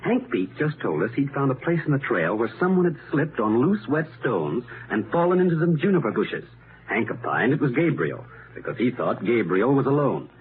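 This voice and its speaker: Scratchy old radio detective, played by a man, lively delivery. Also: medium pitch, lightly acted. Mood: methodical, emphatic. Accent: American. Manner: deliberate, methodical, emphatic. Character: confident, brilliant amateur detective son explaining his solution. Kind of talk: 1930s radio mystery acting. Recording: noisy, narrow-band 1930s radio recording.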